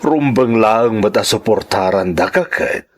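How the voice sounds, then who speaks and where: An actor playing a proud, caring older father in an Ilocano radio drama, husky and fond, with a Filipino accent. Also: fairly low pitch, expressive, unhurried.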